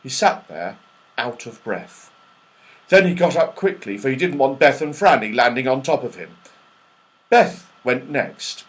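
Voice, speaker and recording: Animated male children's storyteller. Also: fairly high pitch, rough recording. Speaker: audiobook reader. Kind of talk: expressive children's storybook narration.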